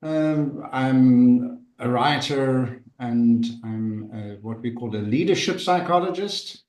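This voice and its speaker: South african guy on a video call, slow and hesitant, lots of ums, roomy echo. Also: mid-range voice, a little mellow. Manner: slow, hesitant, many fillers. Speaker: leadership psychologist and writer. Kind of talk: casual video call introduction.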